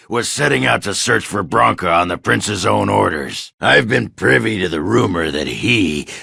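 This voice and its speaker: Very deep, gravelly dwarf warrior. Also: male voice, pushed and bright, no room sound, studio quality, heavily acted. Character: gruff, hard-drinking, sardonic dwarf warrior seeking his wife. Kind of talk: video game character dialogue.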